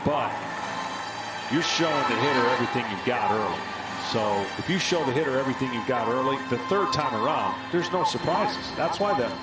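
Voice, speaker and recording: A man working as a color analyst on a baseball broadcast, explaining the game and repeating points for emphasis. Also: mid-range voice. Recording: roomy sound with very loud background noise.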